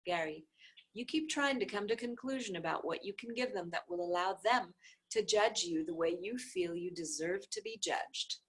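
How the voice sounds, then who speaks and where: Husky-voiced woman reading aloud. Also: mid-range voice, normal speed, low-quality recording. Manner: reads speaker labels and repeated clearing phrases in an even cadence; occasional soft offhand asides. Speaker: reader reading a book aloud. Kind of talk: reading a dialogue book aloud.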